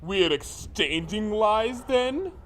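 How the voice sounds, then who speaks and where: A man voicing a pompous, courtly villainous creature in a fan fiction reading, speaking formally and deliberately with theatrical menace. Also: sits high, lively intonation, fairly bright tone, a little hiss or hum, full character voice. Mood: wry, probing.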